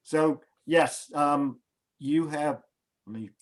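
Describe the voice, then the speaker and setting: A man hosting, talking casually and haltingly over a video call, coaching a guest before a show. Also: on the high side. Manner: hesitant, restarts, coaching tone. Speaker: host.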